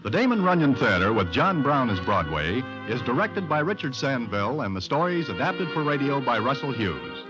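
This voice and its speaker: A man's voice: mid-range voice, very animated, normal speed, rough recording. A man reading a scripted announcement in the style of old-time radio. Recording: loud music under the announcer.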